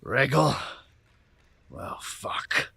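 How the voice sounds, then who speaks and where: A man playing a haughty, bitter, foul-mouthed male vampire in a fantasy audio drama, with a raspy, gravelly voice. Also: medium pitch, sing-song, extremely slow, sharp tone, no room sound, exaggerated voice. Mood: drained, grudging.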